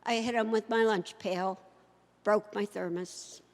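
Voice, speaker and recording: A woman's voice: mid-range voice, fairly even intonation, a little slow. An American woman speaking as presenter and reader at a readers theater. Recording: reverberant hall recording.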